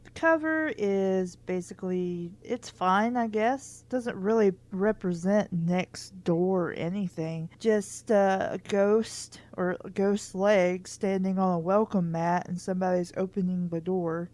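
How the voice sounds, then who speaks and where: A woman's voice: medium pitch, slow pace, a little mellow. A woman reading a children's book aloud in an informal way, stumbling over words at times and adding wry comments of her own. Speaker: host of a book reading series.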